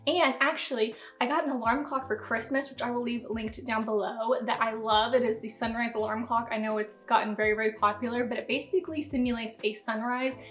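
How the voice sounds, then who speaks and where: A woman's voice: on the high side, steady tone, brisk, few pauses. A woman of twenty-seven talking casually to camera in a chatty, rambling, upbeat way. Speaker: vlogger. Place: home, sitting down.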